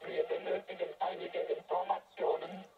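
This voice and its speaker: An actress playing a formal, insistent alien intelligence in a science fiction radio drama, speaking through a radio filter in repetitive, commanding phrases. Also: female voice, on the low side, little pitch movement, moderate pace. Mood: flat, formal. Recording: dull band-limited radio sound with music.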